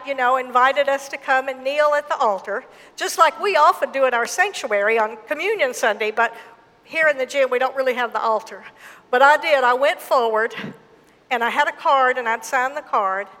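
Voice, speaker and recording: A woman's voice: sits high, sharp tone. Southern woman pastor preaching. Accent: Southern US, Mississippi. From Mississippi, USA. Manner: storytelling, slow and emphatic. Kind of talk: sermon. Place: church gym. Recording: long hall reverb.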